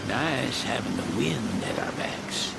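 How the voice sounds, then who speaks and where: A man's voice: medium pitch, lively intonation, slow, creaky, heavy background noise, exaggerated voice. An actor playing a laid-back, folksy older boatman in a video game, terse and easygoing. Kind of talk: video game cutscene acting.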